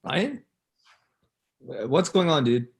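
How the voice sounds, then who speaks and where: A man's voice: fairly high pitch, big pitch swings, slow, vocal fry, exaggerated voice. A young man acting a laid-back, unimpressed rival in a naturalistic script read over a video call. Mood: puzzled, dry. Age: young adult. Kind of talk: acted pilot table read, naturalistic. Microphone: computer video-call mic.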